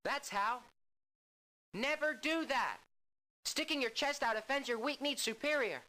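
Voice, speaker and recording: An actress playing a prim military secretary who teaches recruits how to salute. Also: female voice, high voice, a little slow, pushed and bright. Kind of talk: 1990s adventure game voice acting.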